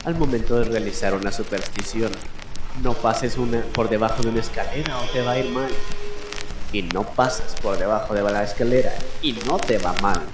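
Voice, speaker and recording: A man hosting a late-night mystery radio show, speaking in a theatrical, deliberate way with dramatic pauses. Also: on the low side. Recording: roomy echo, music behind. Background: music.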